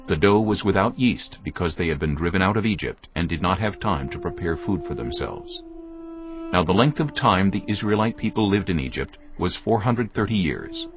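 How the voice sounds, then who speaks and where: A man narrating scripture in a steady, deliberate way. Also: deep voice. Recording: dull audio over music.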